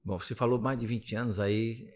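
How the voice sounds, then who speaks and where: A man's voice: medium pitch, steady tone, normal speed, a little mellow. Rambling Brazilian man in his fifties. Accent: Brazilian Portuguese. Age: 50s. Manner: rambling, thinks aloud with many restarts. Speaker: physiotherapist guest. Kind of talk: casual interview talk.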